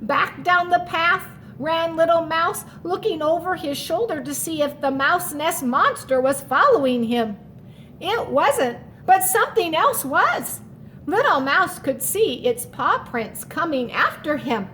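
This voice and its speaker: Female storyteller for children: very high, lively pitch, unhurried, expressive, faint background rumble. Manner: animated, explains the story in asides. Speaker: story reader. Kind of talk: expressive children's read-aloud.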